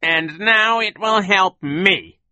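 A man voicing a sinister, scheming male villain from a storybook, with a theatrical, menacing, drawn-out delivery. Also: sits high, sharp tone, no room sound, pitched above the performer's own voice, exaggerated voice. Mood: gloating.

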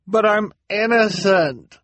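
A man's voice: high-pitched, slow, fairly bright tone, dry recording, pitched above the performer's own voice. A man voicing a desperate accused prisoner, close to tears and begging to be heard. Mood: pleading. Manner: begging, urgent. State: near tears.

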